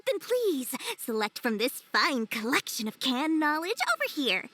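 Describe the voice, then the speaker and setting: A woman's voice: high voice, big pitch swings, unhurried, pushed and bright, exaggerated voice. A woman voicing a small, sly, greedy merchant girl in a video game, gushing with theatrical, overly courteous sales patter. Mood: smug, courteous. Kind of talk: video game cutscene acting.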